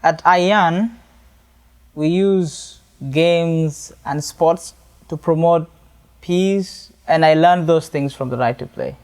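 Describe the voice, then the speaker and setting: A young man speaks with a South Sudanese accent in a voice pitched a little above average. He delivers a prepared personal testimony as an activist, phrasing it earnestly and deliberately. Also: a little mellow, clear, resonant tone. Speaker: youth activist and charity event speaker.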